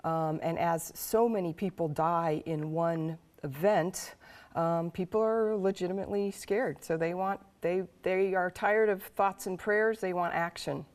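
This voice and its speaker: A woman's voice: on the low side, fairly even intonation, measured pace, darkish tone. A woman psychology professor answering questions in a live TV news interview, thoughtful, with frequent ums and stammered restarts.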